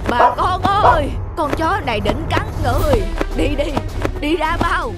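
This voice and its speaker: A woman voicing a frightened, scolding old woman in a cartoon, exclaiming loudly in alarm. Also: sits high, animated, measured pace. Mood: panicked, scolding. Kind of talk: cartoon dubbing. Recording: music behind the voice.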